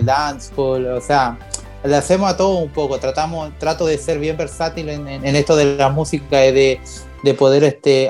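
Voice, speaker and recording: A man from Chile with a Chilean accent, a musician in his thirties, talking in a relaxed interview with many fillers and restarts. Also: mid-range voice. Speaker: musician guest. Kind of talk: casual interview chat.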